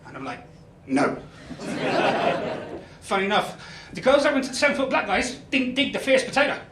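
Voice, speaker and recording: Self-deprecating comic with West Country accent. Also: male voice, high voice, normal speed, background noise. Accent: West Country English. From Ireland, raised in Spain. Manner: self-deprecating, deadpan punchlines. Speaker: stand-up comedian. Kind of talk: stand-up comedy set. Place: comedy club stage. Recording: echoey club with crowd laughter. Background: audience laughing.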